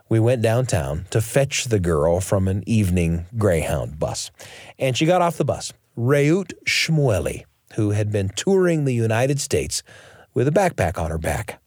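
A male radio host narrates a personal story in a very animated, varied pitch. His phrasing is measured and expressive, with pauses for drama. The recording is dry and free of room sound. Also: no room sound.

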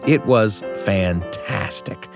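A man's voice: on the low side, extremely slow, vocal fry, over music. A man hosting a radio show, narrating like a storyteller with dramatic, emphatic phrasing.

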